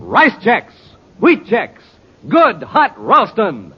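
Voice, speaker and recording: A man working as a 1950s radio announcer, narrating and pitching in a punchy, dramatic style. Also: huge pitch swings, takes it slowly, husky. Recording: dull 1950s radio recording.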